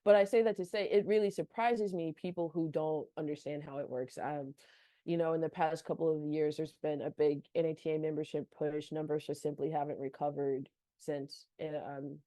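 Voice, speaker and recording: Low-voiced young woman, warm and dry. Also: steady tone, moderate pace, no echo. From North Carolina. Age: young adult. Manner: chatty, frequent "like" fillers. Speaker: guest athletic trainer. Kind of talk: casual podcast interview.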